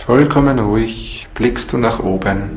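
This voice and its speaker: A man's voice: fairly low pitch, measured pace, a little mellow. A man speaking as a meditation teacher. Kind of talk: guided meditation and interview talk. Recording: music behind the voice.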